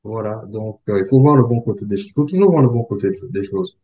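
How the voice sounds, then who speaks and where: Homme posé à la voix grave et sombre. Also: male voice, on the low side, warm tone, dull audio. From Republic of the Congo. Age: 40s. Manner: measured, thoughtful, with frequent hesitations. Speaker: guest. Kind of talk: reflective interview talk.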